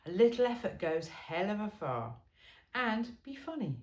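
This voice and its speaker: Female amateur reader, medium pitch, wry conversational delivery with emphasis, recorded in an echoey room. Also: sing-song, moderate pace. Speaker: amateur reader of a humorous self-help book. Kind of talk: informal book read-aloud with chatty asides. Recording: roomy, echoey sound.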